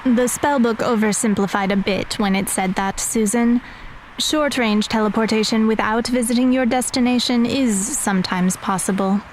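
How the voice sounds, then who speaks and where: Composed, matter-of-fact teenage girl, higher voice woman acting. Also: fairly even intonation, normal speed, slight background noise, lightly acted, low-quality recording. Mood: firm, composed. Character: composed, guarded, formal teenage magical girl. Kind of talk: audio drama acting.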